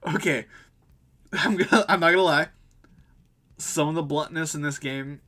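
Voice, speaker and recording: A man's voice: fairly high pitch, moderate pace, pushed and bright. A man streaming a game and chatting casually in an informal, self-deprecating way. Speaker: livestreamer. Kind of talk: casual gaming livestream, reading dialogue aloud.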